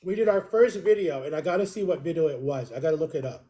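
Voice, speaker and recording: Mellow, higher-pitched man in his thirties, chatting. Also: fast talker. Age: 30s. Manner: rambling, self-correcting, emphatic repetition. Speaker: vlogger. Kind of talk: casual chatty storytelling to viewers.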